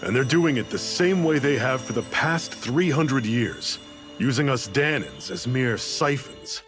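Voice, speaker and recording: An actor playing a seasoned, fatherly rebel leader in a video game, with a relaxed, folksy, mentoring way of talking. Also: mid-range voice, unhurried, over music, natural voice. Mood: wry, explaining.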